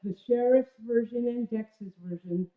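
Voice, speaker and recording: Slow, measured woman reading in echoey room. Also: a little high. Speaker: reader of a literary memoir at a live storytelling event. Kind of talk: expressive literary reading to an audience. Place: indoor stage venue. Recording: echoey room, dull lo-fi sound.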